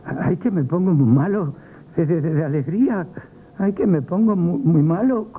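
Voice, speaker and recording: Narrow-band radio play, actor as young errand boy, Spain accent, highish male voice, timid, stammering, emotional, eager to please. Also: moderate pace, a little hiss or hum, lightly acted. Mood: overwhelmed with joy. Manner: stammering, exclamatory. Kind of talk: radio stage comedy acting. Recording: tinny, narrow-band 1970s radio recording.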